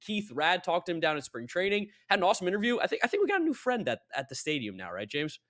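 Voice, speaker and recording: A man with a fairly high voice talks very quickly. He sounds like a casual sports podcast co-host, chatting through introductions and questions. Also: wildly swooping pitch, fairly bright tone.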